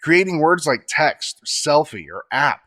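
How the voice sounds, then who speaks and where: A man co-hosting a podcast, explaining facts in a measured, scripted way. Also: fairly high pitch, expressive, fairly bright tone.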